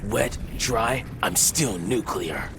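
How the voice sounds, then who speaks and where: An actor playing a cocky, defiant superhero in a video game. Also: male voice, fairly low pitch, lively intonation, slow pace, creaky, exaggerated voice. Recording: loud music behind the voice.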